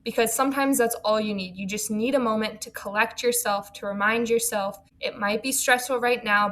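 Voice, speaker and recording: A woman's voice: mid-range voice, a bit bright. A young woman, a college student, speaking in an interview in a composed, earnest way, with full sentences. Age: young adult. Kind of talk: reflective conversation.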